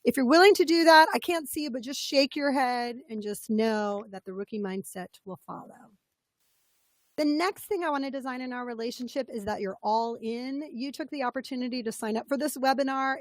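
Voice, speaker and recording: A woman with a slightly scratchy, fairly high voice leads a webinar as a coach. She talks in an upbeat, chatty way and greets people by name. The sound is dry and close. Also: brisk, no echo. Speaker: business coach presenting a webinar. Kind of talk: interactive webinar talk.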